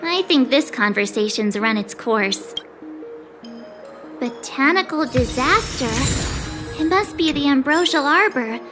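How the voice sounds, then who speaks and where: A confident young traveler from a game cutscene. She is breezy, with a high voice and very animated intonation over clear background music. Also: a little slow, long pauses. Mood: matter-of-fact. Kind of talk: video game cutscene acting.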